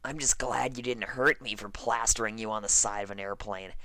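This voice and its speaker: A man's voice: lowish voice, steady tone, brisk, pushed and bright, no room sound, voice pushed up. A man voicing a cheeky, nonchalant young pegasus mare pilot in a teasing, casual way for a fan fiction reading.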